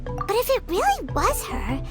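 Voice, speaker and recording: A tiny floating fairy with an extremely high, squeaky child's voice. It is excitable and blunt, leaping wildly in pitch, over loud game music. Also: female voice, a little slow, heavily acted. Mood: puzzled. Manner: refers to self in third person. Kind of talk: video game voice acting.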